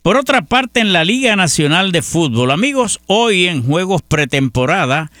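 Lively Puerto Rican news host. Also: male voice, high-pitched, normal speed, no room sound, clean studio sound. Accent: Puerto Rican Spanish. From Puerto Rico. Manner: folksy, chatty, addresses listeners as friends. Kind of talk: news roundup with personal commentary.

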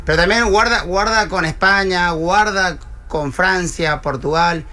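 Bright-voiced sports pundit. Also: male voice, sits high, a little slow. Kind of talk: casual radio sports chat.

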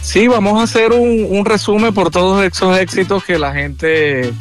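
Higher-voiced Venezuelan man over music. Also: normal speed. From Maracaibo, Venezuela. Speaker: tropical music singer, interview guest. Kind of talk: casual radio interview. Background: tropical dance music playing underneath.

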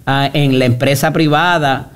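Probing interview host. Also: male voice, mid-range voice, fairly even intonation, unhurried, clean tone. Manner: probing, pressing with hypotheticals. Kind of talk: pointed interview questions.

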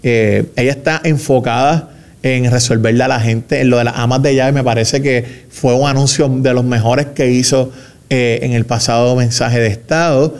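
A Puerto Rican man speaking as a government spokesman in a radio interview, measured and emphatic, with fillers and restarts. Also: mid-range voice, steady tone, darkish tone. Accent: Puerto Rican Spanish. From Puerto Rico.

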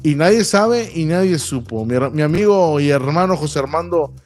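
A man on a radio show, reading sports results and joking in a brisk, colloquial way. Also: fairly high pitch, animated, a little mellow, soft background music. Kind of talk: sports news rundown and banter.

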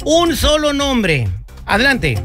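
A man with a very high, bright voice runs a radio call-in quiz, teasing the callers with quick jokes. A faint music bed plays beneath his voice. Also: huge pitch swings. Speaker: radio show host. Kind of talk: lively radio quiz hosting.

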